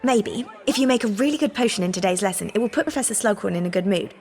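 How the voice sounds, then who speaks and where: A woman's voice: mid-range voice, lively intonation, fast, a little mellow, close to an everyday voice. Woman as a studious schoolgirl, echoing hall. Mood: earnest. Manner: careful, articulate, earnest. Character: studious, earnest teenage schoolgirl. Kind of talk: video game voice acting. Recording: long hall reverb.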